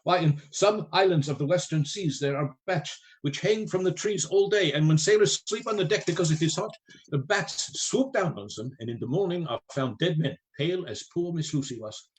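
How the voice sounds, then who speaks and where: Grave, higher-voiced male professor played by a man. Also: close to an everyday voice, low-quality recording. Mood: solemn, building. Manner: deliberate, rhetorical, formal. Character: grave male professor, learned, mysterious, solemnly persuasive. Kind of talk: radio play acting.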